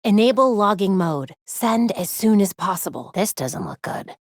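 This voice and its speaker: A woman voicing a young American superheroine in a video game, sharp, determined and dryly witty. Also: medium pitch, expressive, moderate pace, no room sound, lightly acted. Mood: focused, serious. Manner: dry, wry asides.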